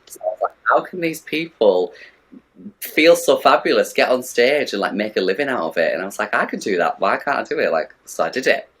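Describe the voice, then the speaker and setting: A man's voice: medium pitch, lively intonation, brisk, fairly bright tone, poor audio. A young man from the northeast of England, a drag performer chatting casually as an interview guest in a camp, talkative way. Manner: camp and chatty, says "do you know what I mean".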